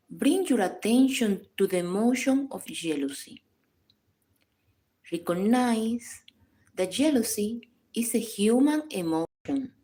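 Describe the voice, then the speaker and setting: A woman leads a guided meditation at a very slow, unhurried pace. Her voice is soft-edged and mellow, sitting at a medium pitch. She gives each instruction calmly and in measured phrases. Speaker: psychologist guiding a meditation. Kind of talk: scripted guided meditation narration.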